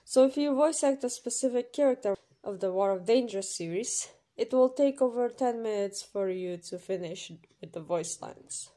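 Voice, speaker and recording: A woman's voice: a little high, normal speed, few pauses, mellow. Woman giving emphatic instructions. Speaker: channel creator running a voice audition. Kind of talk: informal scripted explainer voice-over with numbered rules.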